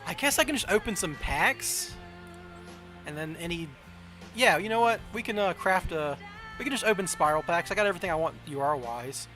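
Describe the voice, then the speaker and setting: A man's voice: high voice, expressive, brisk, sharp tone, soft background music. A man with a Southern American accent chatting casually as a game streamer, thinking aloud and questioning himself as he plays a card game. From southern United States. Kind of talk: casual livestream chat while playing a card game.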